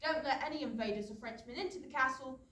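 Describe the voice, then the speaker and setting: A woman's voice: high voice, fast, a bit bright. A woman playing a cynical, chatty castle guard, teasing and sarcastic, in a staged reading of a comic play. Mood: breezy teasing. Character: flippant, cynical, chatty castle guard who loves birds. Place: studio theatre. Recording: roomy theatre recording, distant mic, noisy.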